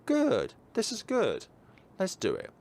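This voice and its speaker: Man putting on an intense, brusque teenage girl, pitched up. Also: huge pitch swings, takes it slowly, a little mellow, exaggerated voice. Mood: excited. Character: intense, secretive, troubled teenage girl in a visual novel.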